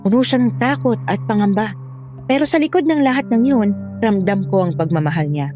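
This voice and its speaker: A woman's voice: mid-range voice, lively intonation, a little fast, over music, lightly acted. Woman acting a young wife narrating horror. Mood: tense, recounting. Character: young wife retelling her frightening ordeal. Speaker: first-person story narrator. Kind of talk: scripted horror story narration.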